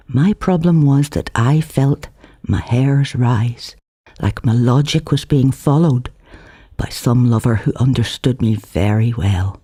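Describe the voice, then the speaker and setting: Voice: medium pitch, warm tone, husky. A narrator reads a literary novel aloud in a colloquial, theatrical first-person style. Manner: theatrical, shifts voice for dialogue. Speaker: author reading own novel aloud.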